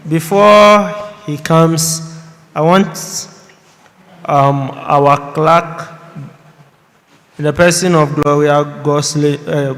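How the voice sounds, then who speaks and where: A young man, chairing a youth parliament and making slow, halting formal announcements. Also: on the high side, steady tone, a bit bright. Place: large hall at a youth parliament. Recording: reverberant hall.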